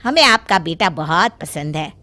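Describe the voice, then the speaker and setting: A woman's voice: low-pitched, expressive, normal speed, sharp tone, no echo, pitched below the performer's own voice. A woman voicing a polite middle-aged father of the bride in a cartoon story. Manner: polite, formal.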